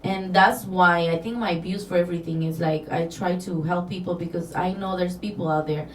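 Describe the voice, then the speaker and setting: A woman's voice: on the low side, steady tone, normal speed. A woman from Mexico with a Mexican accent, a guest telling her story in a casual interview, earnest and rambling with restarts. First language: Spanish. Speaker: guest, designer. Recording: roomy, distant, faint background noise.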